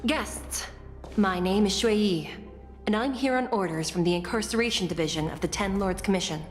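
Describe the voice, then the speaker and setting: A woman playing a stern, formal prison judge and guide in a video game, speaking in a solemn, measured way. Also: medium pitch, lightly acted. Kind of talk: scripted game dialogue. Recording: long hall reverb, music behind.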